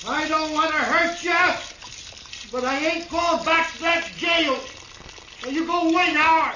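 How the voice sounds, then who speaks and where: An American actor playing a frightened, defiant rancher on the run, shouting with strain in a 1950s radio western. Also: male voice, very high-pitched, a little slow, a little hiss or hum, exaggerated voice. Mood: scared, defiant. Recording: muffled, echoey, far from microphone.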